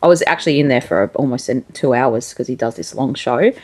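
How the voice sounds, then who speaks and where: Chatty woman with a low voice. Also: animated, fairly quick, a little mellow. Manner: informal, chatty, thinks aloud. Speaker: interview host and musician. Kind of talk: casual interview chat.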